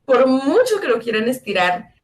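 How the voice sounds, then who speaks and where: A woman who grew up in El Salvador, co-hosting a casual political chat with sarcastic jokes and quick restarts. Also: sits high, big pitch swings. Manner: sarcastic, jokey, fast-talking with restarts. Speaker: co-host.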